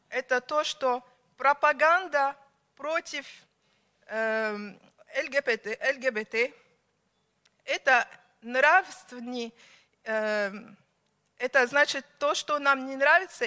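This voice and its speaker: Woman giving a formal speech, high bright voice, really slow and deliberate. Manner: slow, emphatic, pausing between list items. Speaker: conference speaker.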